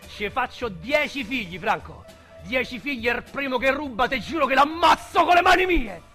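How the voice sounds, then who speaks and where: A brash, crude Roman ex-con. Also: male voice, very high voice, expressive, normal speed, sharp tone, faint music behind, heavily acted. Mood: rueful bragging. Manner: rough street slang, blunt and mocking. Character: brash small-time Roman ex-convict man, crude and boastful. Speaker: lead actor. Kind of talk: radio drama acting.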